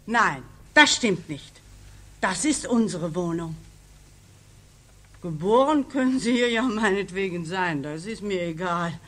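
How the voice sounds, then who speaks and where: A woman's voice: lowish voice, expressive, a little slow, fairly bright tone. An actress playing a curt, unsympathetic woman householder, brusque and dismissive, in a 1940s radio drama. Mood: brusque, suspicious. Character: curt, hostile, unsympathetic woman householder guarding her flat.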